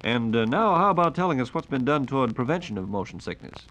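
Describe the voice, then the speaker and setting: A man's voice: medium pitch, big pitch swings, quick pace, fairly bright tone, low-quality recording. An American man hosting a scripted 1940s radio program, speaking in a formal, polished, inquiring way as announcer and interviewer. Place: radio studio.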